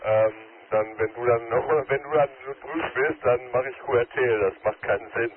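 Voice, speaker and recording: A man's voice: medium pitch, moderate pace, a crowd in the background. A man chatting casually over amateur radio from his car while driving, friendly and with joking sign-offs. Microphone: mobile radio transceiver. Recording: narrow radio band with static and road noise.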